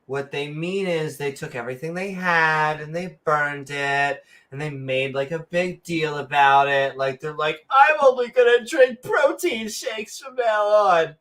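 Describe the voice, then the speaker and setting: A woman co-hosting a comedic podcast, sarcastic and animated, full of asides. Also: low-pitched. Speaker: podcast co-host. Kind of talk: casual comedic chat.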